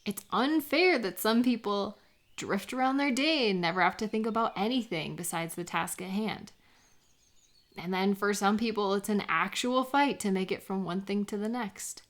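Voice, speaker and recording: A woman playing a supportive, affectionate best friend in a comfort audio roleplay, speaking gently and sincerely in an intimate, conversational way. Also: mid-range voice, lightly acted. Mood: tender, earnest. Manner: gentle, sincere, encouraging, unhurried.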